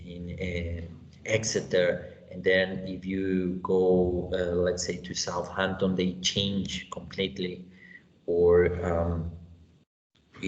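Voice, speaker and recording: A man with a Mexican accent teaching an online class, chatty and loose, full of fillers and "you know". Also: sits low. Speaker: English teacher. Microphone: laptop on a video call. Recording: echoey, dull, lo-fi, faint noise.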